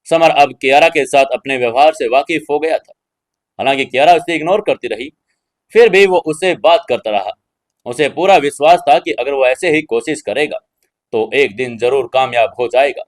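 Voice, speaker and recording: A man's voice: medium pitch, normal speed, no echo. Male narrator of a dramatic serial.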